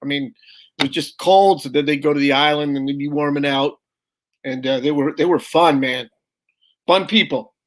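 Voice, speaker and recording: A man's voice: a little high, steady tone, normal speed. Brash joke-cracking comedian man. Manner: brash, punchy, calls people "brother". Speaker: guest comedian. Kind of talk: stand-up style joke telling.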